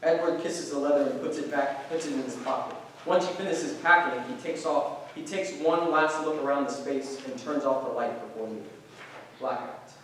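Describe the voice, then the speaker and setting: Male stage-directions reader, scripted narration, higher-than-average voice, heard far from the mic in an echoing room. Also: moderate pace, a bit bright, a little hiss or hum. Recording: very echoey room, distant mic.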